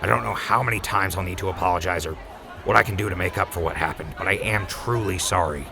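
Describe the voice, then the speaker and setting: A man's voice: sits low, quick pace, creaky, crowd noise. A man voicing an earnest, remorseful young man who pleads and apologizes in an audio drama. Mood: apologetic.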